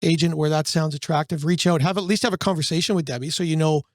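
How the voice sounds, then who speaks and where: A man on a brokerage podcast, with a voice sitting a touch above the usual male range and a slight hoarseness from a cold. He talks in a loose, rambling way, full of fillers and restarts. The sound is close and dry. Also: a little high, steady tone, fast, dry recording. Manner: rambling, searching for words, many fillers. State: losing his voice from a cold. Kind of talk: casual business podcast chat.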